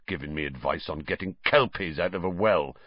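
A man voicing a huge, gruff but kindly male gamekeeper who talks in a blunt growl. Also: medium pitch, sing-song, normal speed, no echo, muffled recording.

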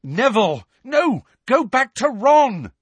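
A man's voice: very high voice, big pitch swings, normal speed, bright, forceful tone, breathy edge, dry recording, voice pushed up, heavily acted. A man voicing a desperate teenage boy, urgent and pleading.

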